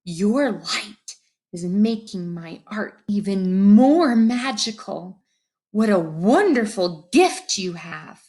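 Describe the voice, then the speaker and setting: A woman's voice: medium pitch, lively intonation, slow pace, a little mellow. A woman voicing a proud, exuberant, artistic beetle in a children's storybook, exclaiming with self-assurance. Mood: encouraging. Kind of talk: children's storybook read-aloud.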